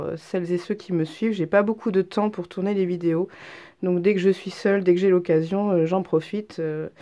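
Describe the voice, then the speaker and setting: A woman craft vlogger with a stuffy head cold talks casually through her handmade work. Her voice sits a little low and sounds mellow and soft-edged, and she pauses often with hesitant ums. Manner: chatty, hesitant, many ums. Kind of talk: casual craft show-and-tell.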